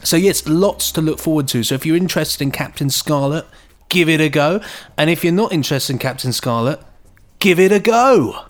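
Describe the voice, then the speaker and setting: A man's voice: on the high side, expressive, moderate pace. British man co-hosting, faint music. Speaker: podcast co-host. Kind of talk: casual chat.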